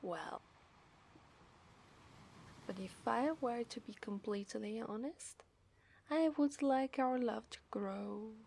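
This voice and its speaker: A woman's voice: fairly high pitch, creaky, darkish tone, exaggerated voice. A woman with an Italian accent playing an obsessive, seductive mermaid in a teasing ASMR roleplay, sometimes slipping into whispers. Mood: disappointed, menacing. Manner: slow, teasing, lapsing into whispers.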